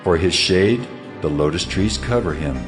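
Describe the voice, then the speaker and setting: A man's voice: low-pitched, lively intonation, normal speed, music in the background. A man playing a stern, majestic God in a dramatized scripture reading, solemn and declamatory as he challenges a mortal. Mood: solemn, awed. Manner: solemn, declamatory, rhetorical questioning.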